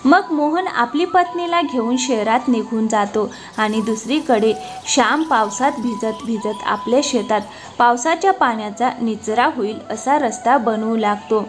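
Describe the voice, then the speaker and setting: High-pitched woman narrating a cartoon story. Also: a little slow, soft background music.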